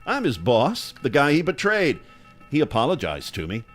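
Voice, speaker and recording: An actor playing a driven, forceful government boss in an audio drama. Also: male voice, mid-range voice, huge pitch swings, moderate pace, soft background music. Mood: insistent, scheming. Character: intense, driven male government boss under suspicion.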